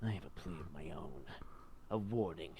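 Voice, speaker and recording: A man's voice: low-pitched, normal speed, creaky, dark tone, breathy edge, full character voice. A man voicing an embittered, menacing hedgehog military commander in a comedic fan dub, with cold, theatrical delivery. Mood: cold menace.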